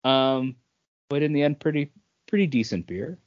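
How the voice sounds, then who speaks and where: Man, podcast co-host, lively varied pitch, casual chat, dry but slightly dull lo-fi recording. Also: moderate pace, no echo.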